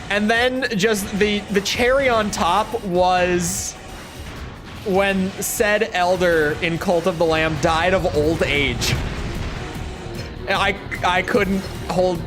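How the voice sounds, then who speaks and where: A man in his early twenties chats casually while streaming a video game. His voice sits slightly high, and his talk rambles, full of jokes at his own expense. Game music plays clearly in the background. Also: slow pace. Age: early 20s. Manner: rambling, joking and self-mocking. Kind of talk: casual chat while gaming.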